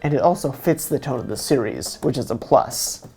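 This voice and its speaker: A man narrates a scripted review with earnest enthusiasm. His mellow voice has plenty of fry and swings widely in pitch. Faint music plays behind him. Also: lively intonation, moderate pace. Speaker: video reviewer.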